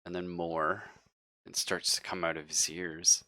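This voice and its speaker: A man running a horror tabletop game as game master, narrating in deliberate, vivid detail and chatting casually with players. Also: low-pitched, little pitch movement, bright, forceful tone, dry recording. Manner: slow, deliberate, vivid descriptive storytelling with pauses.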